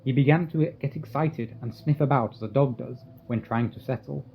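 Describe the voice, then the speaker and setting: A man playing an earnest, formal Victorian asylum doctor in a radio drama, speaking in a measured, grave way. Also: mid-range voice, creaky, natural voice. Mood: grave, troubled. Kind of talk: radio drama acting and narration. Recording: dull lo-fi audio, faint noise.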